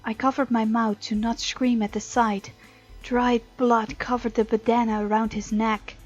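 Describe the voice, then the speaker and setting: A woman hosting a let's play, reading a visual novel aloud as story narration with playful, expressive asides. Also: on the high side, normal speed. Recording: dull, lo-fi sound with music behind.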